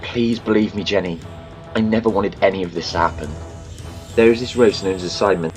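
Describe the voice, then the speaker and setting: An actor playing a protective, earnest time-travelling adventurer in an amateur audio drama, with a dramatic manner. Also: male voice, sits low, brisk, darkish tone, faint music behind, lightly acted. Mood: pleading.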